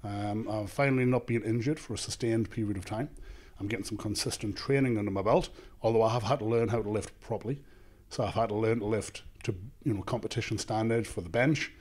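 A man in his thirties with a Northern English accent, a gym owner and author answering podcast questions in a measured, confident way, speaking into a handheld mic in a small office studio. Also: fairly low pitch, darkish tone. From England. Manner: measured, confident, explains in lists. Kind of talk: casual interview answers. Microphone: handheld microphone.

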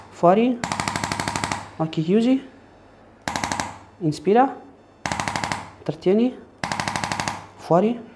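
A man in his thirties, a chiropractor giving short directions and explanations to a patient during treatment. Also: high-pitched. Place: small indoor treatment room. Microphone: lapel mic. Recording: clear background room noise.

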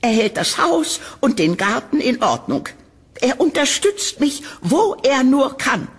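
A woman acts out an older landlady in a horror radio play. She speaks slowly and with big swings in pitch, sweet on the surface but condescending and sinister. The voice is husky. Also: very animated, exaggerated voice. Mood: falsely sweet. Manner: drawn-out, patronizing endearments. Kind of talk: German horror audio drama acting.

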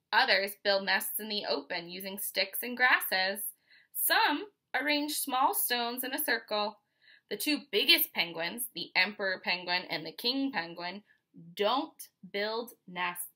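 A woman in her twenties with an American accent reads a children's picture book aloud like a teacher, pausing to explain and ask questions. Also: on the high side, pushed and bright. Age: 20s. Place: small furnished room at home, indoors.

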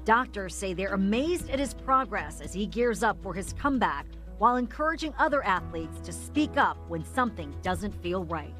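Measured woman newsreader over soft music. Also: medium pitch, expressive. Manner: clear, measured newsreader delivery. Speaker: news anchor and reporter. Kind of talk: scripted news narration. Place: TV studio.

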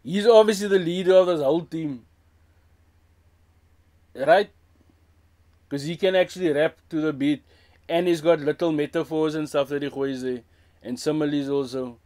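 A man's voice: on the high side, steady tone, normal speed, long pauses, a little mellow. A man in his thirties from South Africa with a Cape Town accent, giving frank, casual commentary in a small room and slipping Afrikaans phrases into his English. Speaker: music reaction host. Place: small indoor room with a brick wall.

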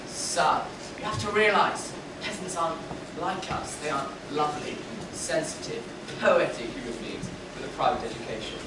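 A snobbish, blustering pantomime king played by an actor, lecturing and commanding with theatrical pomp. The delivery swings widely in pitch. It is heard from far off in an echoey hall. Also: noisy background, lightly acted. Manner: pompous, theatrical, exasperated. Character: pompous blustering king, snobbish father of a prince. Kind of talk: pantomime stage acting. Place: indoor stage of a small theatre. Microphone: distant audience camera.

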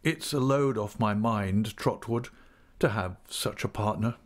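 A man voicing a weary older businessman in an audiobook, speaking in a monotonous, forced way. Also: fairly high pitch, a little slow, pitched above the performer's own voice. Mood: dull resignation. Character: weary, subdued older businessman, dominated by partner. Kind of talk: audiobook character acting.

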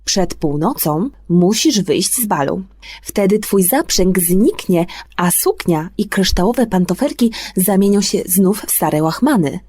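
A woman voicing a kindly, reassuring good fairy godmother for a children's fairy tale, brisk, warm and emphatic. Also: mid-range voice, lively intonation, husky, dry recording, studio quality. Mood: encouraging, emphatic.

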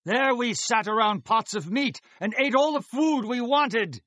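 A man's voice: extremely high pitch, expressive, a little slow, pushed and bright, no room sound, full character voice. A man acting a devout, fervent Israelite in a dramatized scripture reading, speaking in a solemn, declamatory manner. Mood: bitter complaint.

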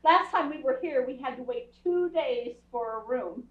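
Bickering radio-comedy wife, romantic and chatty, played by a woman, high voice, echoey distant stage. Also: expressive, moderate pace. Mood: cheerful, chatty. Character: chatty romantic wife who scolds her husband. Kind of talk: old-time radio comedy acting on stage. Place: theatre stage, before an audience. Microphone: distant camera. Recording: muffled, echoey theatre stage from far off.

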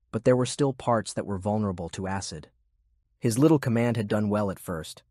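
Male audiobook narrator: low, slightly rough-edged husky voice, very dry close sound. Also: a little mellow, no echo. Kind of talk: scripted story narration.

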